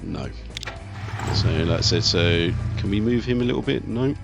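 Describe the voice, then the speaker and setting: A man's voice: sits low, expressive, normal speed, creaky. A man giving casual live commentary on a game, thinking aloud as he talks through his tactics. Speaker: let's play host. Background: video game music, artillery fire and vehicles.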